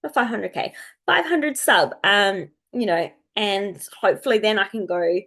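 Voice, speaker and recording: A woman, slightly croaky from a cold, chatting casually and rambling as she thinks aloud to her viewers. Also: mid-range voice, expressive, a little slow, fairly bright tone. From Australia. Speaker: astrology video host. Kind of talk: casual unscripted chat to viewers.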